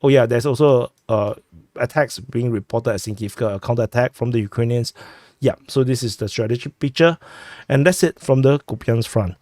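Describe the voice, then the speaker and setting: A man who is sick, hosting a war update and walking through a map, talking haltingly with many fillers and restarts on a close mic. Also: mid-range voice, a little mellow, no room sound. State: sick, clearing throat. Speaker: war news host.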